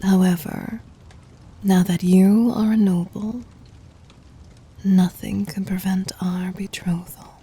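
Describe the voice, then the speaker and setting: A woman playing a regal, adoring demon queen in an intimate ASMR roleplay, whispery and breathy, speaking formally with tender command. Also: mid-range voice, flat delivery, slow, long pauses, mellow, full character voice. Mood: regal, doting, composed.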